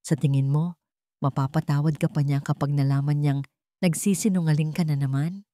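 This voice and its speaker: A woman's voice: deep voice, steady tone, moderate pace, mellow, no echo, studio quality, close to an everyday voice. A woman voicing a cold, threatening scorned fiancée in a story, commanding and taunting.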